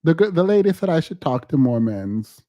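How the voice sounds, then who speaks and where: A man's voice: a little high, big pitch swings, moderate pace, mellow, dry recording, dull audio. A man whose first language is not English hosts a livestream, talking in a playful, teasing way and drawing out emphatic words. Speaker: livestream host. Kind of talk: casual livestream chat.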